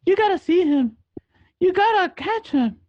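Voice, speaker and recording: A woman playing a gushing, excitable gangster's girlfriend in an amateur radio drama. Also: very high voice, normal speed, dry recording. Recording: dull lo-fi dry home recording.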